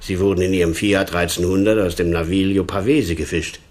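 An actor playing a concerned, moralising police boss in a radio crime drama, admonishing and insistent. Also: male voice, deep voice, fairly even intonation, brisk, darkish tone, natural voice. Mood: serious.

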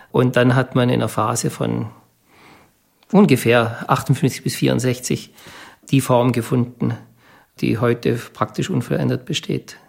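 Gravelly man reflecting in an interview. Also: mid-range voice, darkish tone. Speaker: interviewee, descendant of a company founder.

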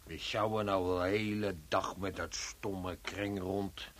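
An actor playing a bitter, fed-up corpse carrier in a radio play, grumbling with sarcasm. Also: male voice, deep voice, little pitch movement, takes it slowly. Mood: fed up, angry. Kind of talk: Dutch radio play acting.

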